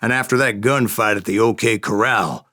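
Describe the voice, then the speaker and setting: An actor playing an old Wild West bounty hunter who tells boastful tall tales in a folksy American Western drawl for a video game. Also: male voice, on the low side, moderate pace, sharp tone, dry recording, clean studio sound. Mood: grim storytelling. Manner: folksy, salty, cussing storyteller. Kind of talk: theatrical storytelling narration for a western video game.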